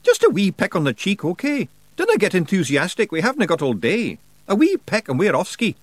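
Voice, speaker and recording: A man voicing a tiny, fierce pictsie warrior in a broad put-on Scots accent, gruff and bossy but cheerful. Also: high-pitched, huge pitch swings, a little fast, dry recording, voice pushed up, heavily acted.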